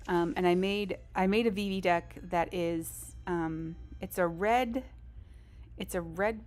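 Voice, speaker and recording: A woman's voice: fairly low pitch, steady tone, takes it slowly, darkish tone. A woman live streamer chatting casually over a game, in a rambling, informal and self-deprecating way. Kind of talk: casual gaming stream chat.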